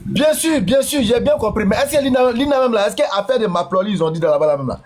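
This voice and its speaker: A man's voice: very high-pitched, expressive, fast. A man joining a live stream with short, casual, joking replies. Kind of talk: casual chat.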